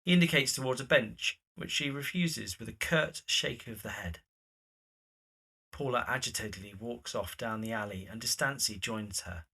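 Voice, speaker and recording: Bright, husky male narrator. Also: medium pitch, moderate pace. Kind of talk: scripted scene narration.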